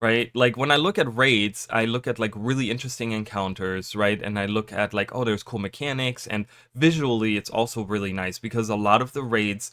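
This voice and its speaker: A man talking casually to his viewers, rambling with lots of fillers and restarts, his voice a little raspy from just waking up. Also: fairly low pitch, fairly quick, few pauses, a bit bright, dry recording. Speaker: gaming YouTuber. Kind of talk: casual chat to camera.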